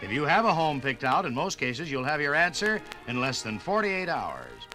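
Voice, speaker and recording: A man's voice: a little high, very animated, normal speed, sharp tone, low-quality recording. An American man reading a 1950s radio sponsor message in a folksy, reassuring sales style. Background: music.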